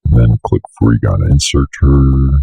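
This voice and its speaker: Very deep, gravelly man talking through software. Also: measured pace, no echo, highs cut off. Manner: rambling, thinking aloud, mildly profane. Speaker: tutorial presenter. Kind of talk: casual screen-share software walkthrough. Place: indoors at a computer desk.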